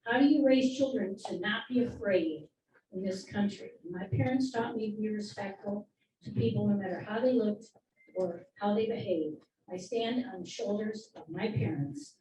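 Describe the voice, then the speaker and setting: A middle-aged woman from Michigan giving an earnest, steady public comment at a community meeting. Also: mid-range voice, normal speed. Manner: earnest, steady advocacy speech. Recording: echoey room, far from the mic, faint noise.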